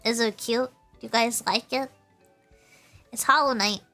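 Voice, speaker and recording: High, bright-voiced bubbly woman streamer. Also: steady tone, unhurried, soft background music. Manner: bubbly, giggly, repeats phrases. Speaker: VTuber livestreamer. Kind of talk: casual chatty livestream, talking to chat.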